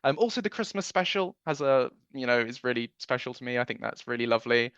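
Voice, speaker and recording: A man's voice: mid-range voice, expressive, fast talker, fairly bright tone, clean tone, no echo, dull audio. A man giving chatty, enthusiastic interview answers as a producer, recounting memories in a conversational way.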